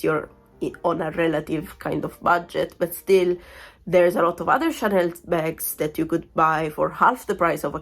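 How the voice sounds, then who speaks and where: A woman in her thirties talks casually to her camera in a fairly low voice, still getting over a cold. She rambles along with plenty of fillers and small laughs, and faint music plays under her voice. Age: 30s. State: recovering from a cold, voice sounds off. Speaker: vlogger. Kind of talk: casual unboxing chat.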